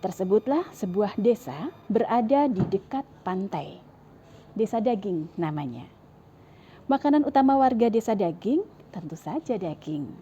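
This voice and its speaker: A woman's voice: medium pitch, lively intonation, measured pace, warm tone, highs cut off, slight background noise. A woman reading a storybook aloud to children in a sing-song manner and talking to them directly, recorded on a clip-on mic. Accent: Indonesian. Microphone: clip-on lapel mic.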